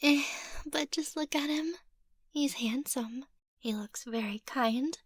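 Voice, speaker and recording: A bubbly, childish young lady of the manor, acted by a woman in an ASMR roleplay. Her voice is high and girlish, with an unhurried, playful delivery. The sound is dry and close. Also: no room sound. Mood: delighted.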